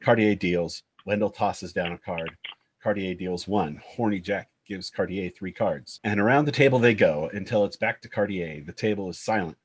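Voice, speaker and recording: A man's voice: low voice, normal speed, breathy edge. A man giving scripted narration, reading out the scene directions of a screenplay at a table read.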